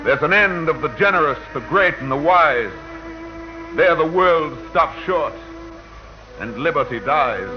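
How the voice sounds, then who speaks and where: A man playing the voice of radio itself as a solemn, fervent orator in 1940s verse drama, declaiming poetically. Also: fairly high pitch. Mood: solemn, warning. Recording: muffled old 1940 radio broadcast, music behind.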